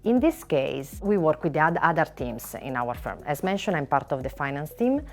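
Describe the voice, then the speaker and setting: A middle-aged woman from Italy with an Italian accent, a finance lawyer answering in a measured way, listing items with frequent pauses. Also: low voice, very animated, faint music behind. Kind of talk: panel interview answer.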